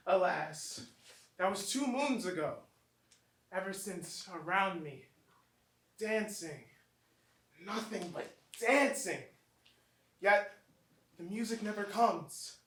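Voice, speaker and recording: An actor playing an uneasy young clubgoer narrating a horror tale in a theatrical, deliberate staged reading. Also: mid-range voice, a bit bright. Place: small theatre, recorded from a distance. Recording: distant roomy stage recording, faint noise.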